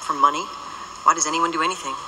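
A woman's voice: low voice, steady tone, fast talker, lightly acted. A woman playing a cool mercenary monster hunter. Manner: cool, laconic, mocking. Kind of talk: radio drama acting. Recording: noisy radio drama track.

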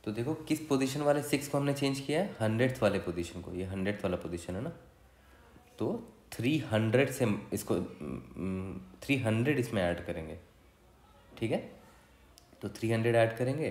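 A man's voice: fairly low pitch, expressive, normal speed, a little mellow. A man with an Indian accent explaining as a coding tutor in a casual, conversational way, often adding little check-in tags. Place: indoor room, voice-over for screen recording. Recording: roomy, echoey voice recording.

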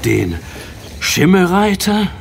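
An actor playing a hospitable, authoritative village dike master, speaking with deliberate weight in an audio drama. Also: male voice, sits high, big pitch swings, gravelly, slight background noise, heavily acted. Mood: grave. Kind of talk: German audio drama dialogue.

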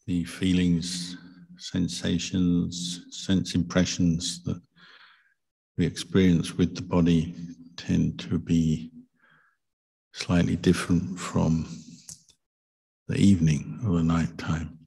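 A man with a very low, husky voice guides a meditation. His delivery is calm and very slow, with long pauses between short phrases. The intonation stays flat and gentle, like a patient teacher giving instructions. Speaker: Buddhist monk meditation teacher.

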